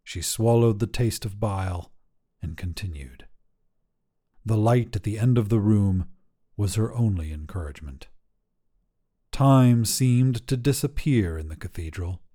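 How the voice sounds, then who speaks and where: Low, mellow male story narrator. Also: measured pace, long pauses. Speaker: fiction podcast narrator. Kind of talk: scripted short story narration.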